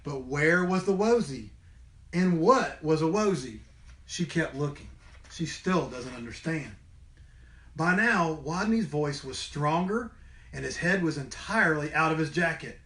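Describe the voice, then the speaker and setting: A man's voice: fairly high pitch, lively intonation, a little slow, fairly bright tone. A man reading a children's story aloud expressively, like a teacher to young listeners. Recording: roomy indoor echo.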